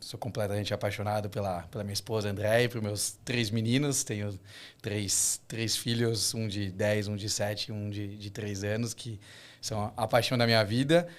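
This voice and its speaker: Eager podcast guest in his 30s, slight Israeli accent, lower pitch, halting repeats. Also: fairly even intonation. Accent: slight Israeli accent in Portuguese. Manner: enthusiastic, repeats words while thinking. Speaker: podcast guest, startup co-founder. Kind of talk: casual interview chat.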